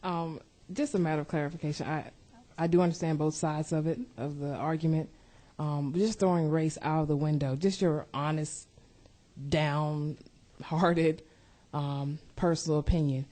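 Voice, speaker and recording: A woman's voice: sits low, steady tone, normal speed, dark tone. A woman committee member asking a question, restating it over and over to get at her point. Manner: probing, rephrases her question repeatedly.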